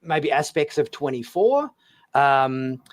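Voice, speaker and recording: A man's voice: mid-range voice, big pitch swings, slow. A man hosting a casual panel discussion, speaking hesitantly and often repeating words and phrases as he goes. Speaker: host of a fan talk show.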